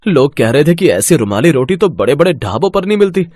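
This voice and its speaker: A man's voice: a little high, very animated, brisk, a little mellow, dry recording. A man playing an earnest, plain-spoken young husband who sells food from a cart in a moral story. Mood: delighted.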